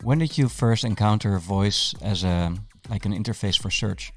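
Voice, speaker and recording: A man's voice: on the low side, normal speed, faint music behind. A man hosting a podcast, introducing and interviewing in a conversational style. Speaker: podcast host.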